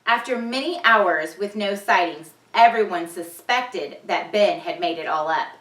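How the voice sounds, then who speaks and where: Slow, expressive woman teacher, bright voice. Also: fairly low pitch. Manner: expressive, deliberate, repeats key words. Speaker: teacher reading aloud. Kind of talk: vocabulary lesson and storybook read-aloud for children. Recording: echoey room, distant microphone.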